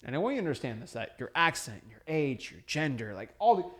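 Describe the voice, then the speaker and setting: A young man coaching listeners on real estate wholesaling in an emphatic, energetic teaching talk, often checking in with "right?". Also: mid-range voice, fast. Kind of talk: motivational teaching talk to camera.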